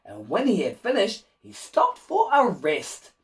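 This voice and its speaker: A man reading a rhyming children's picture book aloud in an expressive, animated way, with asides to young listeners. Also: sits high, unhurried, recorded from a distance. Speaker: children's storybook reader and host.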